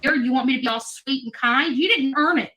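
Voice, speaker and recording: A woman's voice: sits high, a little fast, bright, forceful tone. A woman livestreamer on an angry, profane, unscripted rant, defensive and combative, sounding tired. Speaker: livestreamer venting at online critics.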